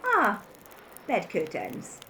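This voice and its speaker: A woman's voice: mid-range voice, huge pitch swings, drawn-out words, pushed and bright, slight background noise, heavily acted, low-quality recording. An actress playing a brazen, callous scavenging woman in an audio drama. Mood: brazen, scornful.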